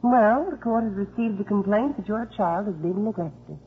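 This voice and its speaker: An actress playing a crisp, formal female court investigator who also narrates, in a 1950s radio drama. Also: mid-range voice, normal speed, slight background noise, lightly acted. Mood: prim, official. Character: prim, composed young female court welfare investigator. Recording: muffled 1950s radio recording, music behind.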